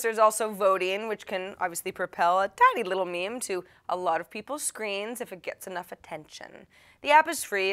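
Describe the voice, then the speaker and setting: A woman's voice: medium pitch, fairly bright tone. A woman hosting a show, talking to her audience in a chatty, playful, wry way that is part scripted and part casual. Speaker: host of a tech tips show.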